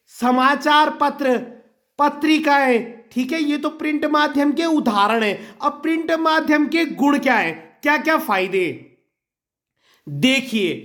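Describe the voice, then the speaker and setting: A man's voice: very high-pitched, fairly bright tone. A male teacher with a sore throat, giving an exam-prep lesson to students, listing points one by one and repeating them. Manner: often checks in with "theek hai".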